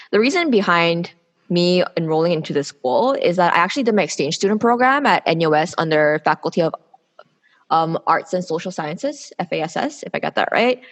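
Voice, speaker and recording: A woman in her twenties from South Korea, speaking candidly and with opinions as a guest in a casual interview, explaining herself at length. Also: fairly low pitch. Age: 20s. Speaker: podcast guest, public policy student.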